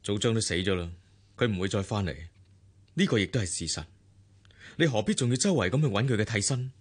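An aggrieved husband in a radio play, in Hong Kong Cantonese. His voice is low and husky, with a very lively melody. He argues insistently and bitterly with his wife. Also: fast. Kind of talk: radio drama acting.

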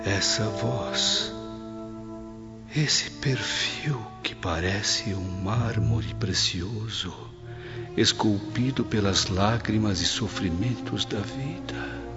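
A man's voice: fairly low pitch, very slow. Weary, grieving Roman nobleman. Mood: awed, puzzled. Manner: grave, solemn, theatrical. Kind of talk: dramatised radio play acting. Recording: dull lo-fi audio under loud music.

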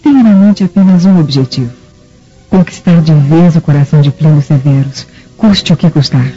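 A woman's voice: low-pitched, normal speed, natural voice. An actress playing a scheming, envious middle-aged Roman mother in a Brazilian radio drama, coaxing and calculating, with a Brazilian accent. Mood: urging, ruthless. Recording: muffled audio with faint music.

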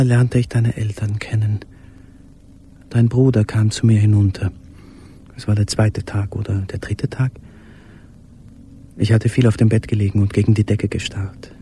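Ein Schauspieler spielt in einem Hörspiel einen gequälten, sich erinnernden Mann im Versteck, gedämpft, stockend und intim gesprochen. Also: male voice, fairly low pitch, dark tone, natural voice. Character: haunted man in wartime hiding, remembering a beloved. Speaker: actor in a radio play. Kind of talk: literary radio play monologue. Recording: faint background noise.

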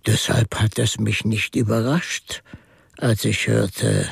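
Thoughtful clever priest detective in a radio play, raspy with some fry, kinda measured. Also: male voice, fairly low pitch, steady tone, no room sound, clean studio sound, full character voice. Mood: calm, probing. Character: Father Brown, mild, shrewd, reflective priest-detective. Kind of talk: German radio drama acting.

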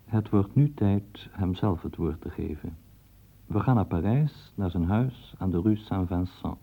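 Deep husky male narrator on a tinny line. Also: measured pace. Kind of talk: scripted documentary narration. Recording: tinny phone-like old broadcast.

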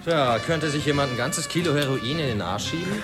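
Menacing talkative radio drama gangster, male actor, highish animated voice, pedantic turns of phrase. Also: a little fast, over music, close to an everyday voice. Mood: coolly threatening. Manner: argumentative, pedantic.

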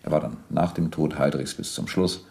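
Deep, gravelly, stern police interrogator. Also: male voice, normal speed, a little mellow. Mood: formal, reading evidence. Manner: formal, probing, insistent. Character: stern, methodical police captain interrogating a war criminal. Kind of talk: German radio docudrama acting, reading documents aloud.

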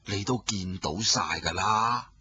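A man voicing an old male Buddhist abbot who speaks in a slow, solemn, heavy way. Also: fairly low pitch, bright, forceful tone, slightly rough, voice pushed down, full character voice. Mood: grave. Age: older.

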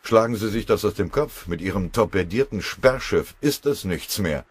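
Man playing a gruff, blustering airfield foreman, loud and animated. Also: lowish voice, normal speed, voice pushed up. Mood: gruff, resigned. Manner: grumbling, barking orders in sarcastic colloquial speech. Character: gruff, blustering German airfield foreman, bossy but fatherly.

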